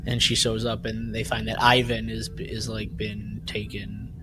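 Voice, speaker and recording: A man's voice: fairly low pitch, flat delivery, measured pace. A man hosting a podcast, recapping a story in a rambling casual way and often checking in with "right?". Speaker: podcast host. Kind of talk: casual chat recapping an audio drama. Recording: clear background noise.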